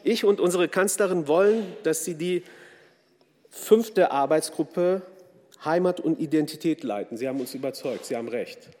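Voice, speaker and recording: A man's voice: fairly high pitch, animated, moderate pace, a little mellow. A man from a Kurdish background raised in Germany giving a formal, earnest talk with frequent hesitations. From Kurdish from Turkey, raised in Germany. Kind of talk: formal speech with fillers. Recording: long hall reverb.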